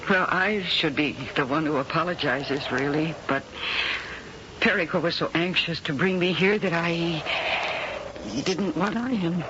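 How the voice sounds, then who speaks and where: A woman's voice: lowish voice, a little slow, background noise, natural voice. A woman playing a reflective heroine narrator. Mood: polite. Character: reflective, compassionate American actress heroine. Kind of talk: 1970s radio drama narration. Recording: dull old broadcast with music bed.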